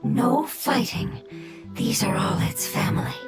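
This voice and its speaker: A hushed, earnest forest spirit speaks in breathy, formal whispers. Its voice sits slightly high and carries a quiet solemnity. Loud game soundtrack music runs underneath. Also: female voice, expressive, unhurried. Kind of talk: game voice acting.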